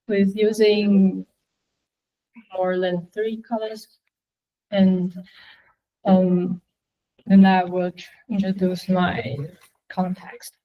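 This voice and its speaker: A young woman whose first language is Chinese, presenting her portfolio to a class in a halting way with many fillers. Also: medium pitch, dull audio. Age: young adult.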